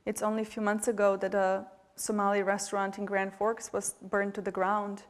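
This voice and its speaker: Bosnian-accented woman, 40s, panel speaker, steady monotone delivery, heartfelt with many pauses, long hall reverb. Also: medium pitch. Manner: heartfelt, hesitant, many fillers and restarts. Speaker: panelist, nonprofit director. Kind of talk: earnest public remarks.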